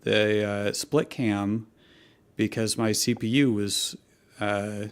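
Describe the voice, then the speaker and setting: Slow, low-voiced, gravelly man chatting. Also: fairly even intonation. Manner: halting, hesitant phrasing. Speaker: co-host of a fanfiction reading show. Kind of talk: casual chat.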